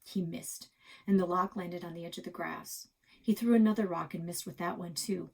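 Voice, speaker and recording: A woman reading a children's book aloud in an expressive, dramatic storytelling style. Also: on the low side, moderate pace, gravelly. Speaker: library read-aloud reader.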